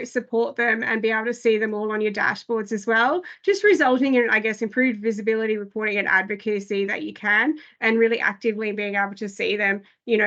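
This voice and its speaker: A woman giving an online product briefing, slightly hoarse and full of hesitant fillers. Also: mid-range voice, a bit bright, dull audio. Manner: filler-heavy, frequent "I guess" and "um". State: losing her voice. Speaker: product presenter.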